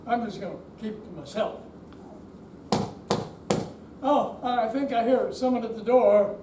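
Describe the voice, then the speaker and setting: Brief: man as gullible, grumbly older male customer, high-pitched, readers theater, distant mic in echoey room. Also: measured pace. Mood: trusting. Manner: grumbly yet trusting. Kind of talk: amateur readers theater stage reading. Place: indoor senior center performance room. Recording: distant echoey hall, faint noise.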